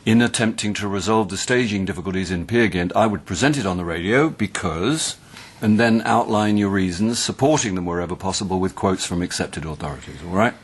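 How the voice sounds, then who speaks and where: An actor playing a wry, patient middle-aged university tutor in a radio play, with an English accent and a measured, donnish, sometimes hesitant delivery. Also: male voice, deep voice, breathy edge. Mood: patient, instructive.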